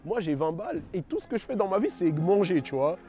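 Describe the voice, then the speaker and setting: Jeune homme à l'de banlieue parisienne, timbre sombre, parle en argot, hésitant et décousu. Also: male voice, high-pitched, sing-song, fast, warm tone, faint music behind. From France, raised in London. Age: 20s. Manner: slangy, rambling, many fillers and self-corrections. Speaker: testimony giver. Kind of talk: casual spoken testimony.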